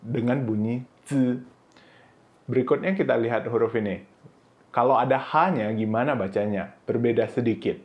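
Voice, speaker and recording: A man teaching Mandarin pronunciation in a casual, instructive way, often saying "oke" and "nah", and sounding out syllables in high, drawn-out bursts. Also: dull audio. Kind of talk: pronunciation lesson video.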